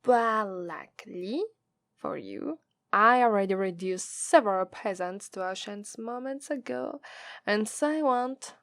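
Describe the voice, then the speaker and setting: A woman with an Italian accent voicing a gloating, teasing female demon captor in a slow, drawling ASMR roleplay. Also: mid-range voice, dry recording. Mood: menacing, haughty. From Italy. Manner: slow, drawling, taunting, playful menace.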